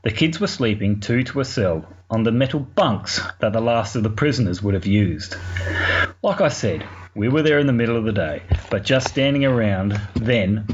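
A man with an Australian accent telling a story informally for a podcast, in a colloquial, sweary, wry way. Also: fairly low pitch. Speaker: podcast segment contributor. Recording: muffled lo-fi recording, clear background noise.

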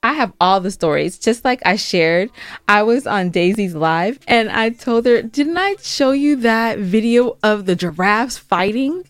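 A woman's voice: mid-range voice, expressive, a little slow, no echo. Woman chatting casually, dry close sound. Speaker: podcast co-host. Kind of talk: casual banter.

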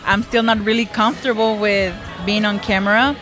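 A woman's voice: medium pitch, fairly even intonation, fairly quick, over music. A young woman from Mexico, answering interview questions about her film club in a hesitant way with many fillers. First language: Spanish. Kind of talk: casual interview.

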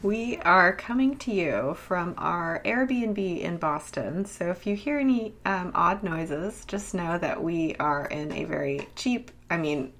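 A woman in her twenties co-hosts a casual podcast, talking easily with frequent laughs and fillers. Her voice sits a little low. She records from a rented apartment. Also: slow, few pauses. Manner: laughs often, relaxed, fillers. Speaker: podcast co-host.

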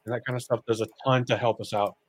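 A man's voice: mid-range voice, steady tone, fast. A man co-hosting a podcast in a casual, colloquial, joking way, as in chat and plugs. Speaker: podcast co-host.

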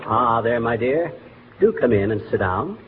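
An actor playing a blustery, glib middle-aged husband in a 1940s radio comedy, folksy and self-important. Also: male voice, medium pitch, sing-song, moderate pace, lightly acted. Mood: sentimental, folksy. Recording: tinny, noisy 1940s radio broadcast.

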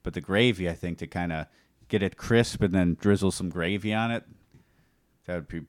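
A man hosting a podcast, chatting casually in a halting, rambling way with some joking. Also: sits low, dry recording, clean studio sound. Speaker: podcast host. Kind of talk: casual chat.

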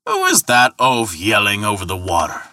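A man's voice: fairly low pitch, wildly swooping pitch, normal speed, bright, forceful tone, distant mic, heavily acted. A man voicing a sly, taunting ferryman in a mythological tale, with a scathing, mocking drawl. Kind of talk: dramatic mythological storytelling.

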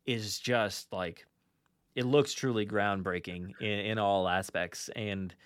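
A man with a fairly low voice hosts a casual fan podcast. He is enthusiastic, yet he circles back and repeats phrases as he goes. The sound is very dry, with no room around it. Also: steady tone, slow pace, smooth, dry recording. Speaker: podcast host. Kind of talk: casual fan chat, rambling countdown.

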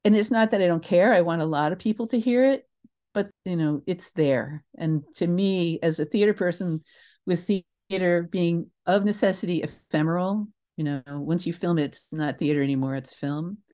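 An older woman telling stories as an interview guest in a casual, animated, emphatic way, often interrupting herself and digressing. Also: on the low side, normal speed. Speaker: interview guest, theatre creator.

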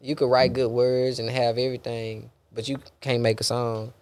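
A man's voice: mid-range voice, level tone, moderate pace, darkish tone. A young adult man, a gospel rapper talking loosely in a casual interview, rambling and dropping bro and man often.

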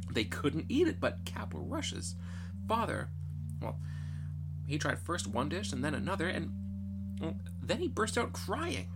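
A man tells a fairy tale with playful theatricality. His pitch leaps about constantly, and prominent music runs behind the narration. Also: poor audio. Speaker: storyteller. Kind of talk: animated storybook narration.

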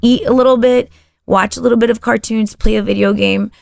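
A woman's voice: on the high side, steady tone, brisk, gravelly, dry recording. A woman from Texas whose first language is Spanish, telling personal stories as a podcast guest in a casual chat with frequent restarts. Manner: warm storytelling with frequent restarts.

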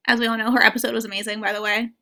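A woman's voice: fairly high pitch, level tone, very fast, sharp tone. A young woman, a coach guesting on a podcast, chatting casually and informally with frequent filler "like". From born in Morocco, grew up in the US and Morocco. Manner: informal, peppered with "like". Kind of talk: casual chat.

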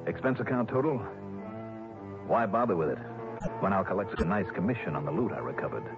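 An actor playing a hardboiled, wry American private investigator in a mid-century radio detective drama, cool and clipped. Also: male voice, sits low, very animated, fairly quick. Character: hardboiled, quick-witted male private investigator. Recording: muffled old radio broadcast with music.

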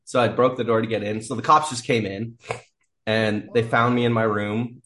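A man's voice: on the low side, fairly even intonation, normal speed, a bit bright. A man telling a wild story as a podcast guest, rambling, laughing often and swearing freely. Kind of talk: casual storytelling.

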